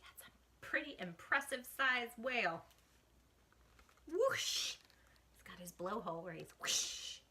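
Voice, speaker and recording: A woman hosting storytime, reading a picture book aloud to children with animated, dramatic emphasis and hushed asides. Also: on the high side, slow, long pauses.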